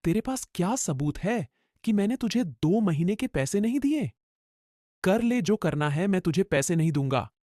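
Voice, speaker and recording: A man voicing a harsh, greedy food-cart owner who bullies and shouts at his worker, in a cartoon story. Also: sits high, big pitch swings, normal speed, no echo, clean studio sound. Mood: scornful. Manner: scornful, shouting. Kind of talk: cartoon voice acting.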